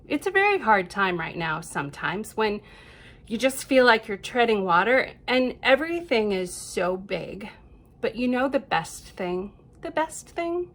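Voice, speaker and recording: Children's storytime reader: American woman, middle-aged, reassuring and chatty, with lively swooping intonation. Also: mid-range voice, vocal fry. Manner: gentle, reassuring, addressing young children with rhetorical questions and affirmations.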